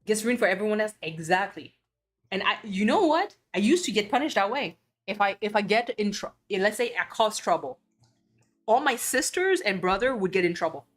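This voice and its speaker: A woman's voice: mid-range voice, animated, normal speed, soft background music. A woman from Belgium, a streamer chatting casually and ranting bluntly with cursing and many restarts. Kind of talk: casual chat.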